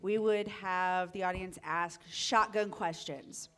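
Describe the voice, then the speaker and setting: A woman's voice: fairly low pitch, fairly even intonation, takes it slowly, a bit bright, smooth. A woman speaking casually on a convention panel, emphatic and direct as she organizes the audience questions. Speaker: panelist taking charge of questions. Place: convention meeting room with audience. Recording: live convention room recording.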